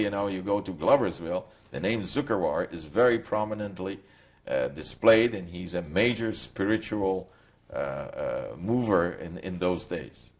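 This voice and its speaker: An older man recounts his memories in a slow, reflective way, often pausing to find his words. His voice sits very low. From Poland. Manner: reflective, halting storytelling. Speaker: interviewee. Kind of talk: recorded testimony.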